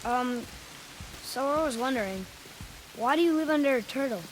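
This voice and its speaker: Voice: sits high, animated, moderate pace, background noise. A young performer playing a curious, earnest boy in a cinematic game, with an inquisitive, slightly hesitant manner. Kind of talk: naturalistic cinematic game acting.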